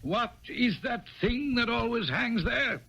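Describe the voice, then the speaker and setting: An actor playing a stuffy, imperious government director in a 1970s radio drama, pompous, blustering and brusque. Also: male voice, very high-pitched, animated, slow pace, creaky, sharp tone, breathy edge, exaggerated voice. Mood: irritated, commanding. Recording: dull vintage 1970s radio broadcast.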